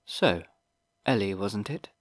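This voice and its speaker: An ancient old wizard, grave and formal, read by a male narrator. He speaks slowly and deliberately in a lowish, dry voice, close to the narrator's own. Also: lively intonation, dry recording. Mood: measured. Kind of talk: audiobook character reading.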